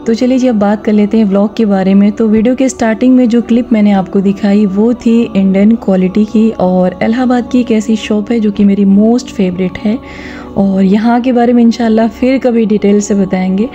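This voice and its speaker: A woman's voice: medium pitch, steady tone, a little fast, warm tone, muffled recording, soft background music. A woman narrating a vlog, giving instructive advice directly to her viewers. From India. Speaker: vlogger. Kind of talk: vlog voice-over with gentle religious advice.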